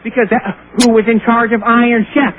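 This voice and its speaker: A man's voice: very high voice, little pitch movement, brisk. A man calling in to a radio show, ranting and arguing in an indignant, self-righteous, preachy manner. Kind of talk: argumentative phone-in ranting. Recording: tinny phone line with hiss.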